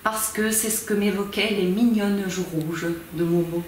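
Woman in her fifties, amateur reader, measured expressive phrasing, middle-pitched voice, strong room echo, faint background hiss. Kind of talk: theatrical reading of a novel. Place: small library corner, indoors. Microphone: camera at a distance.

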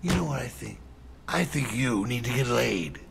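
An American man playing a brash, foul-mouthed, impatient middle-aged crime boss in a video game, with a gravelly voice. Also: medium pitch, lively intonation, big-room sound, slight background noise. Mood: sardonic. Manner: profane, slangy, drawn-out. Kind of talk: cinematic video game acting.

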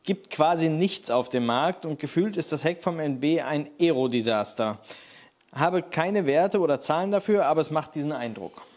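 A man's voice: a little high, lively intonation, moderate pace, darkish tone. A man co-hosting a casual chat, reading out viewer questions and chiming in with short agreeing replies. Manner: reads questions aloud, short agreeing interjections.

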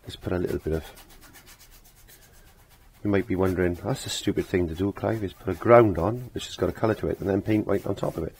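Croaky, low-voiced man teaching painting. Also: quick pace, darkish tone. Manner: rambling, self-talking, repeats phrases. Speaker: painting instructor. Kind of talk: casual step-by-step painting demonstration. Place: indoor home art studio.

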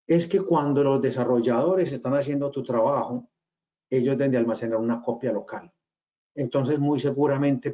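A man's voice: mid-range voice, mellow. A man in his forties with a Colombian regional accent, giving a webinar presentation over a video call, hesitant, with many fillers and restarts. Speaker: webinar speaker, company cofounder. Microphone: video call webcam. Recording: slightly dull video call audio.

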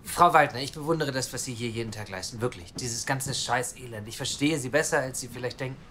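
A man's voice: medium pitch, quick pace, pushed and bright, slight background noise, close to an everyday voice. Eager, chatty actor as hopeful adoptive father. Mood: earnest, pleading. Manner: eager, talkative, persuasive. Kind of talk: naturalistic audio drama acting.

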